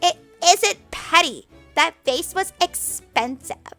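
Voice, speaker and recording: An indignant young maid, as a woman reading aloud puts her on, protests in a bright, lifted voice, proud and stung. Faint music sits under the reading. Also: very high voice, animated, slow, pitched above the performer's own voice, heavily acted.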